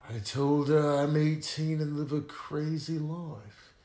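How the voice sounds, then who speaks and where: A man playing a smooth-talking young ladies' man, reciting comic rhymes in hushed, suave patter. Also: fairly high pitch, slow, echoey room. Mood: suave, boastful.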